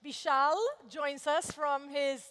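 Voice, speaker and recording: A woman's voice: high voice, flat delivery, slow pace, fairly bright tone. A woman from Venezuela moderating a conference panel, asking polished questions built on statistics. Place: large conference hall. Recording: long hall reverb.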